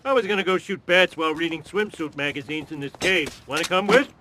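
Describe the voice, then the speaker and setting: A man's voice: fairly high pitch, a little fast, noisy background, close to an everyday voice. A man voicing a dim, childish, hot-tempered American cartoon dad in a comedy video game, blustering and oafish. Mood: casual. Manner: oafish, blustering, easily swayed. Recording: loud game music behind voice.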